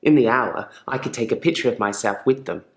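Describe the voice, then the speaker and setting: A man acts out an unsettling male patient confiding in his therapist in a game drama. His mid-range voice is measured and self-controlled, politely probing with a quiet menace. The room around him rings with noticeable echo. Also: medium pitch, lively intonation, natural voice. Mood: quietly eerie. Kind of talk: live-action game drama monologue.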